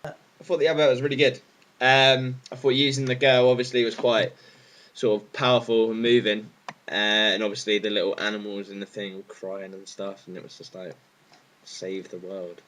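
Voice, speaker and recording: A man's voice: on the low side, flat delivery, normal speed, a bit bright. A man giving casual opinions as an interviewee.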